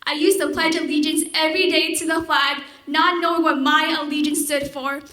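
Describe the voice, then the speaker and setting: A high school senior girl gives an earnest, formal speech at a podium mic. Her voice is high and bright, and the theater gives it a roomy echo. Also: flat delivery, normal speed. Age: teens. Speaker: student speaker. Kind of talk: prepared speech.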